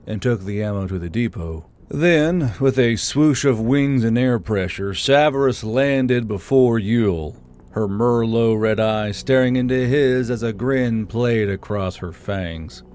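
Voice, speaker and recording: A man's voice: medium pitch, measured pace, darkish tone, slight background noise. A man reading scripted fantasy fiction as an audiobook narrator. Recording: background music under the voice.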